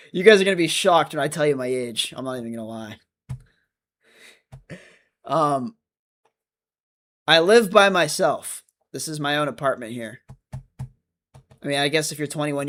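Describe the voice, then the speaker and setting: Male streamer, 25, casual chatty delivery, slightly raised pitch. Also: fairly bright tone. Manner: chatty, rambling, self-correcting. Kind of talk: casual livestream chat reading comments.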